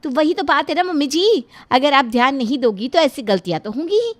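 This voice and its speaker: A sharp-tongued, scornful young daughter-in-law, played by a woman narrator. Her fairly high voice swings a lot as she snaps back, with a dry, close sound. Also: moderate pace, dry recording. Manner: snappy, scornful, lively.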